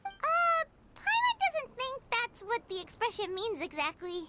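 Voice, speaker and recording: A woman's voice: extremely high pitch, huge pitch swings, unhurried, heavy background noise, heavily acted. A woman voicing a tiny, cheeky, childlike fairy companion in a video game, with a chirpy manner. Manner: chirpy, talks in third person. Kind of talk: cartoonish video game dub acting. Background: loud background music.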